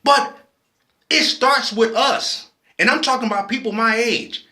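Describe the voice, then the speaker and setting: A man's voice: very high voice, unhurried, sharp tone, echoey room. A man, impassioned and preachy, often restarting his sentences as he speaks his mind in a personal video. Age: 40s. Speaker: vlogger.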